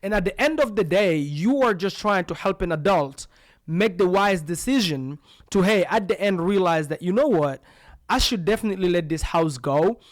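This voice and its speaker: A man's voice: high-pitched, animated, moderate pace, no room sound, studio quality. A man from Belgium co-hosting a podcast, coaching listeners in an emphatic, punchy way full of rhetorical questions. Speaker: podcast co-host. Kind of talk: real estate coaching talk.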